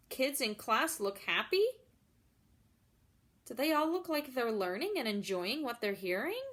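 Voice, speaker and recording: A woman reading a children's story aloud in an expressive way, pausing to address young listeners directly. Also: medium pitch. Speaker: storybook reader.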